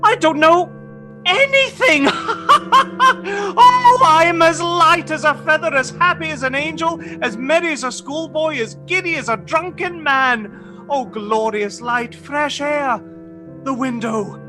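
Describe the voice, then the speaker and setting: Man acting old miser reborn, very high voice, slow delivery, theatrical, emotional, radio play, music behind. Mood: giddy joy. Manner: theatrical, emotional, repeats words.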